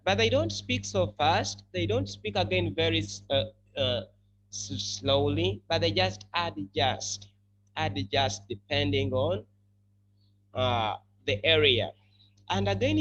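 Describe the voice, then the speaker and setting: A man teaching an English class, explaining deliberately and repeating key words. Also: high voice, muffled recording, noisy background. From country formerly colonized by the UK.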